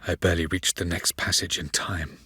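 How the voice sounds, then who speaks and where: A man playing a haughty, cryptic elven sage in a video game, with measured, condescending, formal archaic phrasing. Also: deep voice, little pitch movement, pushed and bright, breathy edge, no room sound, studio quality, exaggerated voice. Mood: grave, explaining. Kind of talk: video game character dialogue.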